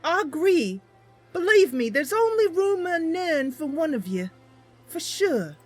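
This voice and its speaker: A woman puts on a young wood elf adventurer for a fantasy game roleplay. The voice is very high and animated, with a bubbly, wide-eyed manner. It is chatty and inquisitive, with soft music behind. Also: unhurried, heavily acted. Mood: playful.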